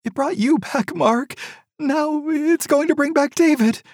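A man's voice: high-pitched, sing-song, slow, a little mellow, slightly rough, no room sound, clean studio sound, voice pushed up, full character voice. A man voicing a frantic, grieving middle-aged mother who pleads and sobs in an audiobook reading. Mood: desperate. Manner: pleading, sobbing, desperate.

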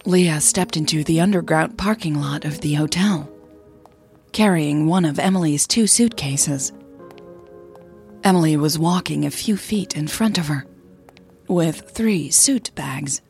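Woman narrator, low-pitched, gravelly fry, soft storytelling delivery, faint music behind. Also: unhurried. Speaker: podcast host and narrator. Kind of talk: audio drama storytelling with informal podcast intro.

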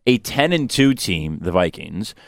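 A man's voice: low voice, very animated, a little slow, gravelly, no echo, studio quality. A young man hosting a sports radio show in a casual, conversational style. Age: young adult. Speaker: sports talk show host.

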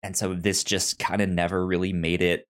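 A man hosting a podcast in casual discussion, speaking haltingly and often stammering over words and sounds. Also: sits low, little pitch movement, a bit bright. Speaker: podcast host.

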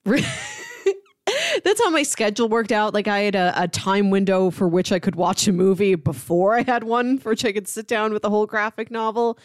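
A woman's voice: medium pitch, expressive, moderate pace, no room sound, studio quality. A young adult woman co-hosting a podcast, giving her opinions in casual but articulate conversation. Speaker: podcast co-host.

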